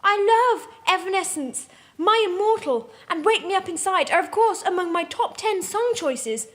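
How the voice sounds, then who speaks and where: A woman voicing an excitable, melodramatic teenage goth girl in love, in a comic dramatic reading. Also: very high-pitched, moderate pace, pitched above the performer's own voice. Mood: excited gushing. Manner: excitable, exaggerated.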